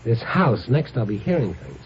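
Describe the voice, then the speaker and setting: Wry hard-boiled man, lively delivery. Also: medium pitch, normal speed. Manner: wry, slangy, exasperated. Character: wry, impatient hard-boiled male investigator who narrates his case. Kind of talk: 1950s radio crime drama acting and narration. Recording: muffled, noisy vintage radio recording.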